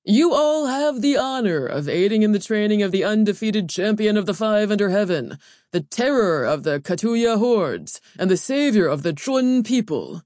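A woman acts out a pompous male training overseer from a fantasy audiobook. He projects loudly and declaims with grandiose ceremony, pitched up as if addressing a whole arena. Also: big pitch swings, normal speed, dry recording, studio quality, lightly acted. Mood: stern, grand. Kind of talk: audiobook character voice.

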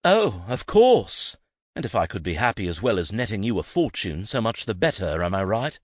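A man voicing a bitter, sarcastic young nobleman with a husky voice. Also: sits low, very animated, moderate pace, fairly bright tone, no echo, clean studio sound, full character voice. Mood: scornful. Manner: sarcastic, scornful.

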